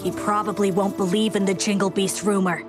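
A woman playing an eager, flattering young gang underling in a video game. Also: medium pitch, brisk, over music, close to an everyday voice. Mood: uneasy.

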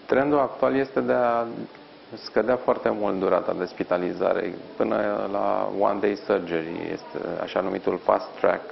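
Middle-aged doctor guy on a live tv link, really low gravelly voice, slow careful explaining, kinda dull lapel mic sound. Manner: measured, explanatory, with frequent pauses. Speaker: orthopedic surgeon interviewed as guest. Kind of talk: live television interview. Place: hospital ward.

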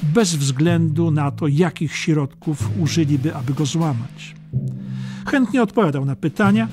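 Higher-pitched male history audiobook narrator.

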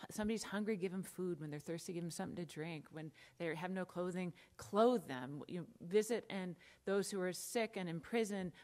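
Low-voiced American woman pastor preaching. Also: a little mellow. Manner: conversational preaching with asides and emphatic lists. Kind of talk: sermon. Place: church sanctuary, at the pulpit.

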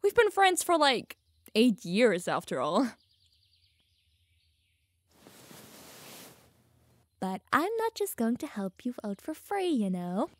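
Woman acting a playful, high-voiced young elf mage. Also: big pitch swings, unhurried, dry recording. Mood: amused, teasing. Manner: playful, teasing, flustered stammering. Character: teasing, smug, lovestruck young female elf mage. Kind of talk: audio roleplay addressed to the listener.

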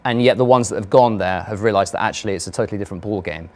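A man's voice: fairly low pitch, very fast, a little mellow. A young man with a London accent, speaking earnestly as a guest on a studio panel discussion. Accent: London English. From London, England. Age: young adult. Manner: earnest, reflective, gestures with hands. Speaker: vlogger and model, panel guest. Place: studio panel stage with audience.

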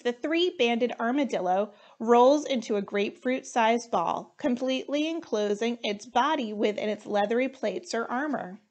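Female storybook reader, thirties, higher-pitched, very measured pace, expressive delivery. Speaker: firefighter reading storybooks aloud. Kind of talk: children's storytime read-aloud.

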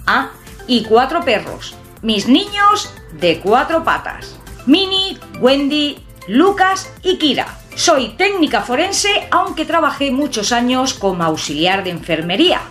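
Woman, fifties, southern-tinged Spanish accent, bright higher voice, very animated, jokey vlog chat, faint music underneath. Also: a little slow. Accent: southern Spanish-sounding, from an Extremaduran father. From Catalonia, Spain. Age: 50s. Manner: chatty, expressive, jokey, lively hand gestures. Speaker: urban exploration vlogger. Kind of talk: personal talk to camera. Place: small home room with shelves and a computer.

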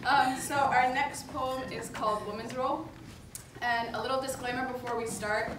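A woman's voice: on the high side, steady tone, moderate pace. A young woman on an indoor stage, presenting and performing spoken word poetry. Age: young adult. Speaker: slam poet. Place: indoor stage in front of a projection screen. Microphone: far camera. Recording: very echoey room, distant mic, noisy.

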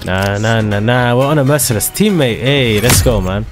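A man giving excitable, slangy commentary over his own shooter gameplay, his voice a little hoarse from a dry throat. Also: mid-range voice, normal speed. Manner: excitable, slangy trash-talking banter. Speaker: gaming video creator. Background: loud background music and gunfire.

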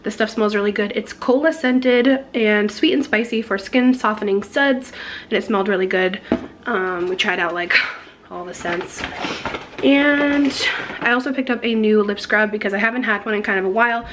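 A young woman in her twenties, hoarse and losing her voice, chatting casually to a handheld camera in her kitchen with lots of giggles and fillers. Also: medium pitch, moderate pace, slight background noise. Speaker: beauty vlogger. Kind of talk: casual vlog chat. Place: home kitchen. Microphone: handheld camera at arm's length.

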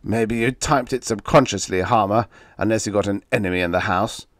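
A man's voice: fairly low pitch, a little fast, dry recording, clean studio sound, close to an everyday voice. A man doing a sneering, angry editor. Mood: mocking. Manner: mocking, sneering. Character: mocking, angry male editor on the phone.

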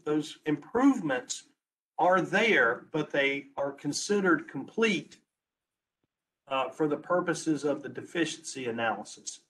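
A man's voice: a little high, a bit bright, rough recording. Man losing his voice, on a video call. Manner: halting, restarts words, searches for phrasing. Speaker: transportation planner presenting. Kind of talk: webinar presentation.

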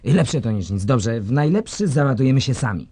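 A man's voice: medium pitch, sing-song, brisk, warm tone, husky, no room sound. An actor playing a cynical, scheming male government minister in a radio drama, sardonic and chuckling as he plots. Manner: sly, sardonic, chuckles while plotting.

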